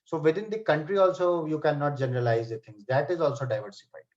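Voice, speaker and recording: A man teaching software testing in a live online class. He speaks to students by name and keeps checking in with "okay" and "right". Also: a little high, fast talker. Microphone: computer mic on a video call. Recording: slightly dull online call audio.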